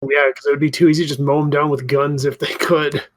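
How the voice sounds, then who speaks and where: Higher-voiced man in casual gaming chat. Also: level tone, fast. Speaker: gaming podcast co-host. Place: remote voice call over the internet.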